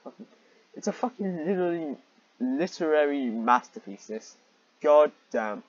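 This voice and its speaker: A man's voice: a little high, lively intonation, moderate pace, no room sound, muffled recording. A man reading fan fiction aloud and commenting on it in a sarcastic, exasperated, sweary manner.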